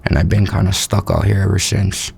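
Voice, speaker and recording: Low-voiced man in his thirties, matter-of-fact. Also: brisk, vocal fry, slightly rough. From Hawaii. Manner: steady, matter-of-fact storytelling. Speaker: interview subject. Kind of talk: recounting his life story.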